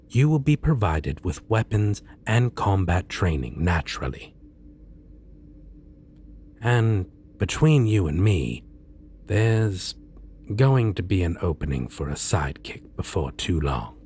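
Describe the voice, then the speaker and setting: A man playing a suave, theatrically polite supervillain in an ASMR roleplay, speaking with deliberate pauses and sly menace. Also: sits low, a little mellow, a little hiss or hum, heavily acted. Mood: persuasive, confiding. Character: suave male supervillain interviewing a minion, courteous, slyly menacing. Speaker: roleplay voice performer. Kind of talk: ASMR roleplay, scripted monologue.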